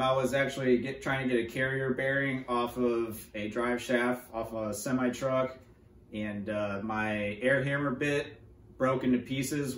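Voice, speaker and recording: A man in his thirties with a North American accent, casually telling stories as a mechanic in a plain-spoken, matter-of-fact way. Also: medium pitch. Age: 30s. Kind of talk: casual storytelling to camera. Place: wooden workshop or garage, indoors. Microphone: camera a few feet away. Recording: roomy echo, distant microphone.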